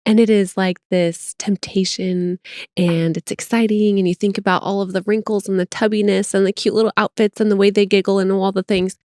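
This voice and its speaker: A chatty middle-aged woman with a plain General American accent, co-hosting a podcast from behind a close microphone. Her talk is expressive and self-deprecating, pitched in a mid range. The sound is dry, with almost no room echo. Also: brisk. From United States. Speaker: podcast co-host. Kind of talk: casual chat.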